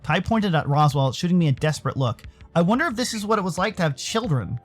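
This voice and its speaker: Man, American accent, expressive storytelling, widely swinging intonation, visual novel narration, background music. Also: high-pitched, fast talker. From Arizona, United States. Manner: theatrical, expressive. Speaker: narrator and streamer.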